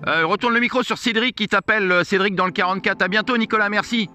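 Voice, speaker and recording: A man's voice: high-pitched, fast, over loud music. A man chatting with other operators as an amateur radio operator. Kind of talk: radio contact chat.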